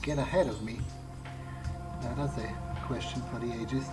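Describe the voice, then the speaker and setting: A man's voice: medium pitch, fairly even intonation, moderate pace. A man speaking casual in-character dialogue as he plays a game, with hesitant, conversational phrasing. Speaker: let's player improvising in character. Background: game soundtrack music.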